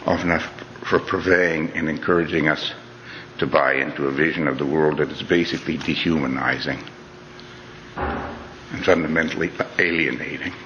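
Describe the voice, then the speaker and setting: Deep-voiced man lecturing in a hall. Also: fairly even intonation, moderate pace, pushed and bright, slight background noise. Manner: earnest, conversational, with frequent "you know" and restarts. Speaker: speaker giving a talk. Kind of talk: reflective lecture to an audience. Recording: dull lo-fi recording in a reverberant hall.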